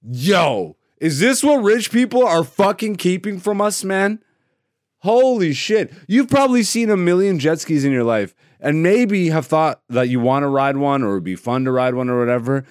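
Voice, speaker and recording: A man's voice: a little high, moderate pace, no room sound, clean studio sound. Laid-back, profane male comedian host. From Greece. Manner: laid-back, profane, laughing, with sudden loud excited bursts. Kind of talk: casual solo podcast chat.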